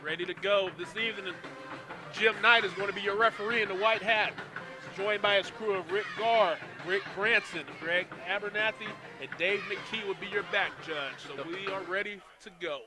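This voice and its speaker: A young man giving relaxed, chatty analysis as color commentator at a high school football game. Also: moderate pace, over music.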